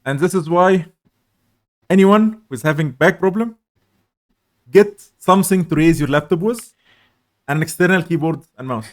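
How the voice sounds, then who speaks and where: A young man co-hosting a casual podcast chat, talking loudly and rambling with plenty of fillers. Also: a little high, normal speed, pauses often. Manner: loud, rambling, laughs along. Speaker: podcast co-host.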